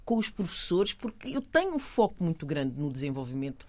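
A middle-aged woman with a European Portuguese accent, chatting as a guest, animated, laughing often and repeating words while she searches for them. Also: sits low, a little fast. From Portugal and Cape Verde. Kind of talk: casual conversation.

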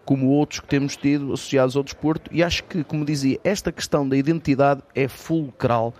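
A man's voice: medium pitch, steady tone, a little fast, darkish tone. A man with a European Portuguese accent, a lecturer giving a radio interview in earnest, formal, academic phrasing. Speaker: studio guest, university sports lecturer.

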